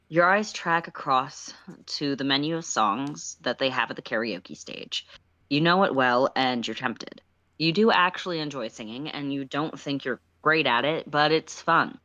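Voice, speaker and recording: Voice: medium pitch, moderate pace, sharp tone, no echo, highs cut off. A narrator reading a story aloud on a casual livestream, with playful, self-deprecating asides.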